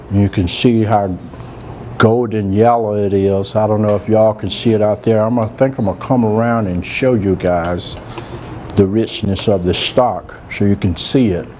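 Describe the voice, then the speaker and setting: Soft-spoken man, mellow voice. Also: lowish voice, slight background noise. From Georgia, US. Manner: soft-spoken, relaxed and chatty. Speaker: cooking show host, chef. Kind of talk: casual cooking demonstration. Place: large room with a live audience.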